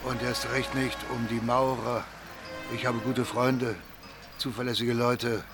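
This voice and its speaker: An actor playing an assured, quietly authoritative mafia boss in hiding in a radio crime drama. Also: male voice, medium pitch, flat delivery, measured pace, music in the background, close to an everyday voice. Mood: assured, genial. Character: commanding mafia boss in hiding, seeking shelter.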